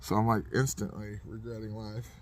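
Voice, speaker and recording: A man's voice: on the low side, flat delivery, normal speed. A man casually telling a comedic anecdote in a rambling, self-deprecating way, as a podcast host would.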